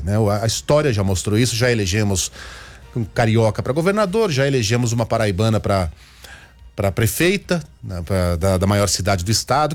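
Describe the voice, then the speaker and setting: A man's voice: fairly low pitch, a little mellow, breathy edge. A man from Minas Gerais giving spoken political commentary in a conversational way, repeating words and often checking in with "né?". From Minas Gerais, Brazil. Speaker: news commentator.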